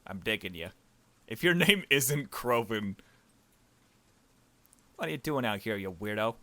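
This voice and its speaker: A man's voice: lowish voice, lively intonation, a little slow, sharp tone, no room sound. A man reading a visual novel aloud as a gaming host, with joking, irreverent asides. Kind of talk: let's play, dramatic reading mixed with joking commentary.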